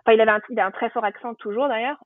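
A woman's voice: a little high, rapid-fire, dry recording. A woman casually telling personal stories in French conversation, chatty and anecdotal, thinking aloud as she goes. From Normandy, France. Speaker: podcast guest. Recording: thin phone-like band, dry.

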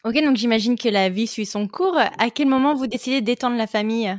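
Lively woman host, dry sound. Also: fairly high pitch, dry recording. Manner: lively, quick questions, short reactions. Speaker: podcast host. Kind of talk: casual interview.

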